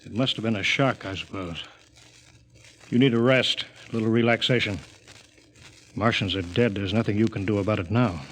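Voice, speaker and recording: An actor playing a pragmatic space expedition captain in a 1950s radio drama, authoritative, measured and placating. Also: male voice, fairly low pitch, a bit bright, a little hiss or hum, natural voice. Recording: old radio recording, crowd behind.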